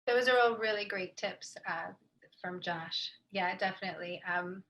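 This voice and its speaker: A woman's voice: mid-range voice, normal speed, fairly bright tone, dull audio. A woman giving an informative panel presentation as a marketing expert.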